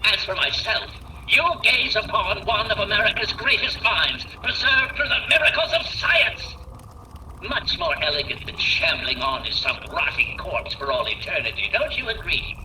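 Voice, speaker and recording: A man's voice: high-pitched, expressive, normal speed, background noise. An actor voicing an arrogant, condescending male genius who speaks through a holographic projection in a video game. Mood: scornful. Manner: condescending, insulting. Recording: roomy, distant voice.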